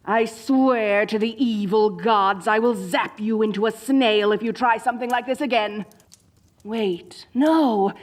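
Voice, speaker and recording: A woman's voice: a little high, unhurried, close to an everyday voice. A woman playing a dorky evil sorceress queen in a comedic audio roleplay, theatrical, gloating and petulant, talking straight to the listener. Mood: petulant scheming. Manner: theatrical, gloating, mock-menacing.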